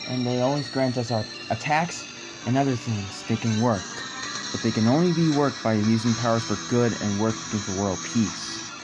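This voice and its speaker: A man performing fan-made superhero script lines as an amateur voice actor, with halting, deliberate phrasing. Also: medium pitch, rough recording.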